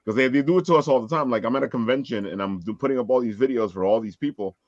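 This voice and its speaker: A man's voice: mid-range voice, racing, a little mellow. A man hosting a casual live panel chat over an online call. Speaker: podcast host. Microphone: online video call. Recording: roomy echo, dull lo-fi sound.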